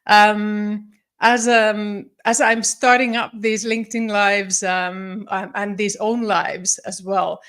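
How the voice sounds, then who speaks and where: A woman's voice: medium pitch, little pitch movement, slow pace, fairly bright tone. A woman from Finland in her forties, a psychologist and leadership coach, giving a live-streamed talk with many ums and restarts. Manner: friendly, many ums, restarts, slightly formal phrasing.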